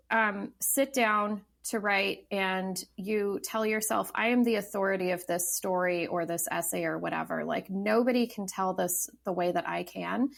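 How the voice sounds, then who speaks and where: A woman's voice: medium pitch, vocal fry. A woman in her thirties, a novelist talking casually as a podcast guest, thoughtful, with many fillers and restarts. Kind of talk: casual interview talk.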